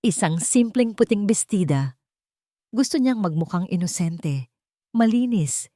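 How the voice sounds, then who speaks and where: A woman's voice: lowish voice, darkish tone, no echo, studio quality. A woman narrating a scripted story in a dramatic storytelling style.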